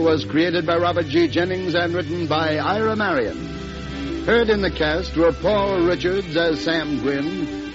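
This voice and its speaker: A man reading credits as a radio announcer in a formal 1940s style. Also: fairly high pitch. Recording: muffled vintage radio with music.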